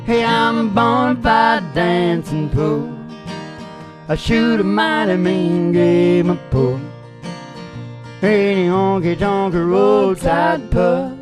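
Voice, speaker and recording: A woman's voice: mid-range voice, slow pace. A woman hosting a radio show of acoustic country music, in rambling, jokey, casual style. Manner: jokey, laughs through own remarks.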